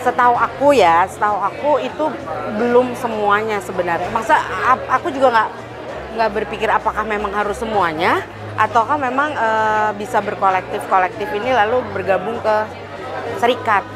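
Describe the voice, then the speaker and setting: A woman speaking thoughtfully in an interview, pausing and searching for words. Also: mid-range voice, music in the background, crowd noise. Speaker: panel speaker. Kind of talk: interview statement.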